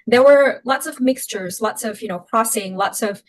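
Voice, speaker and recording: A woman's voice: fairly high pitch, moderate pace. Reflective young woman telling her story. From Myanmar (Rohingya from Arakan), raised in Thailand. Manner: reflective, many restarts and "you know". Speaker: podcast guest, activist. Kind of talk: personal storytelling in an interview.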